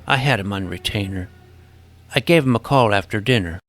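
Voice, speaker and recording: A man's voice: on the low side, fairly quick, a bit bright, dry recording. A man narrating a first-person scripted audio drama as its young protagonist, earnest and precise.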